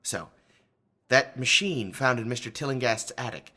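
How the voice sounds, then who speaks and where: Bright-voiced, probing investigator. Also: male voice, medium pitch, moderate pace, husky. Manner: formal, probing. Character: businesslike male investigator taking a witness statement. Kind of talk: theatrical radio drama acting.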